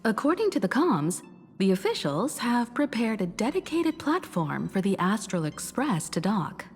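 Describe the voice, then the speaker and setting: A poised, mature woman leader in anime game style, with a medium pitch and lively intonation. She is composed and articulate, with reverb and faint music around her. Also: moderate pace, breathy edge. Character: composed, mature motherly woman leading a crew.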